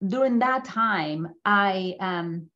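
A woman's voice: medium pitch, expressive, slow, a bit bright, lo-fi sound. A middle-aged woman from Mexico gives an informal, conversational presentation about her own life, often checking in with listeners. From Mexico City, Mexico. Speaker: guest speaker at a business school. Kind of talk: informal slide presentation, personal story. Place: indoor room, on a video call. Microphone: video call microphone.

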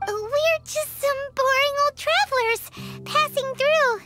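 A woman voicing a small, childlike fairy companion in an anime video game, excitable and cheeky, with squeaky, exclamatory delivery. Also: takes it slowly. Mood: curious. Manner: exclamatory, exaggerated cartoon delivery. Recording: game music behind the voice.

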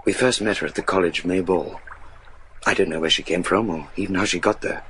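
An actor playing an earnest, troubled young man in a gothic radio play, speaking in a grave, formal, measured manner. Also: low-pitched, fairly bright tone, low-quality recording. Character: earnest, troubled young man recounting his friend's fate. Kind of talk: dramatic storytelling in radio play.

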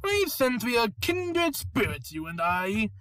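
A man voicing a gleeful, theatrical clown villain in a cartoon fan dub, mock-friendly and drawn-out. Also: very high voice, sing-song, fairly bright tone, no echo, clean studio sound, full character voice. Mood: gleeful, smooth-talking.

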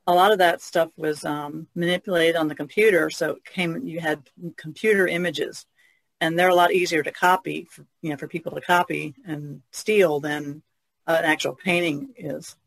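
A woman's voice: deep voice, creaky, dry recording, rough recording. A woman chatting casually as a panelist on a podcast.

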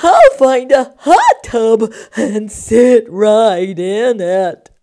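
A woman voicing a cold, shivering snowman from a children's storybook, with a quavering, drawn-out, exaggerated delivery. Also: on the high side, big pitch swings, dark tone, full character voice. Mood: shivering, complaining.

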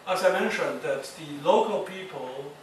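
A man giving a university lecture, speaking haltingly with many fillers and restarts. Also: fairly high pitch, expressive. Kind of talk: classroom lecture. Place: lecture hall. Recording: echoey lecture hall, distant mic, noisy.

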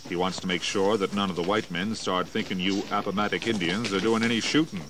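A plain-spoken soldier of colonial times acted by a man has a deep voice. He is matter-of-fact, in radio drama style, slightly distant with clear noise behind. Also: steady tone, brisk, lightly acted. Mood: reassuring. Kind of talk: historical audio drama acting. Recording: distant mic, noisy old recording.

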